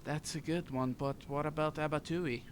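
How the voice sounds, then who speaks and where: A man giving casual gameplay commentary, muttering in a halting, repetitive way. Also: fairly high pitch, fairly even intonation, a little hiss or hum. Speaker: video game streamer. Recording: loud game music behind voice. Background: video game music.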